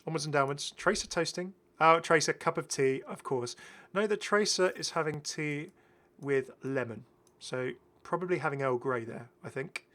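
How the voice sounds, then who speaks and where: A man's voice: on the high side, moderate pace, a bit bright. A British man giving casual, enthusiastic, rambling commentary as the host of a gaming video. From Britain. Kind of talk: casual commentary over game footage.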